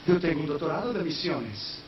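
A man preaching a sermon in a language that is not his first, slipping in English phrases and rising into shouted, sung-out peaks. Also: fairly high pitch, expressive, a little fast. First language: not Spanish. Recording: echoey, distant, dull sound with faint noise.

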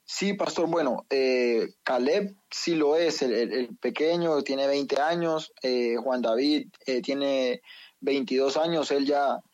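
A young man with a Honduran accent, talking as an interview guest, pausing on fillers and repeating words as he finds his phrasing. His pitch is ordinary and the sound is dry and close. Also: darkish tone, no echo, highs cut off. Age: young adult. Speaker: guest, professional footballer.

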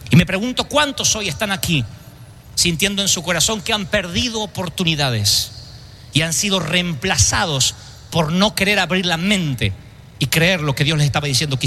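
Bright, high-pitched preaching man. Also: a little hiss or hum. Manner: emphatic preaching with rhetorical questions to the congregation. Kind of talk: sermon. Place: church service.

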